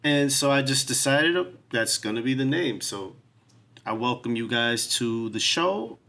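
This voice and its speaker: A man's voice: mid-range voice, expressive, unhurried, pushed and bright. A man, hosting his podcast and talking earnestly about his life and the show in a casual, reflective way. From New York City. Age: 40s. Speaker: podcast host. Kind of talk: solo podcast introduction.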